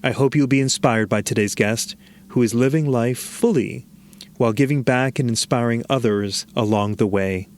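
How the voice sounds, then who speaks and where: Male podcast host, measured and earnest, mid-pitched voice. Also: darkish tone. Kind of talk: scripted intro then interview.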